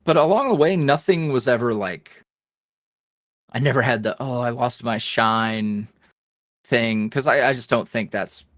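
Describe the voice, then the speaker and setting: A man's voice: medium pitch, a little slow, a bit bright, no room sound, studio quality. A man, an ASMR roleplay creator, chatting as a guest in a casual interview, rambling with many fillers and restarts.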